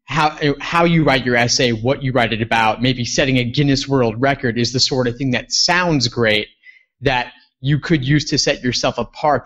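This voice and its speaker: Male host, measured questions, mid-pitched, muffled recording. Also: fairly bright tone. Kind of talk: interview questions. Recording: dull lo-fi audio.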